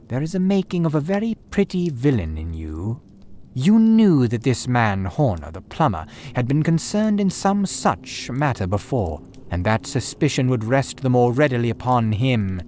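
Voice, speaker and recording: A man voicing a calm, sharp-witted male detective who speaks in a measured, dry and commanding way. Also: medium pitch, a little hiss or hum.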